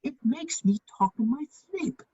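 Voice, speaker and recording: A woman playing a witty, idle gentleman bachelor in his thirties, arch and drily polite, in a period comedy reading. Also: high-pitched, animated, normal speed. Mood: flippant. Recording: dull, echoey, lo-fi room sound.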